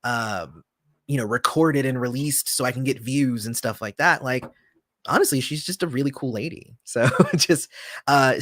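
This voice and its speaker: A man hosting a casual livestream, answering viewer comments in a relaxed, rambling way with lots of fillers, often trailing off softly. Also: medium pitch, dry recording, clean studio sound. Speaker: channel host.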